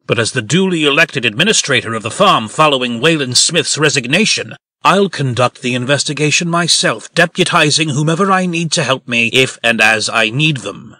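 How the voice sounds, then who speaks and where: A man's voice: medium pitch, expressive, normal speed, sharp tone, no echo, clean studio sound. A man voicing a belligerent, self-important talking pig in a comic dub, with a pompous, indignant manner. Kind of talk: comic dub character acting.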